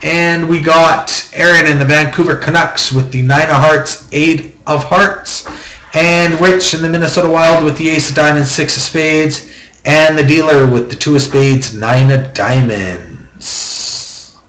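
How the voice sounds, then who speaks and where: A man with a slightly high voice hosts a card draw at a tabletop. He takes his time, announcing each step in a methodical, repetitive pattern. The small room around him adds an audible echo. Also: unhurried, a bit bright, a little hiss or hum. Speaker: host of a trading-card box-break auction. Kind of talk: running card-deal commentary. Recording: roomy echo.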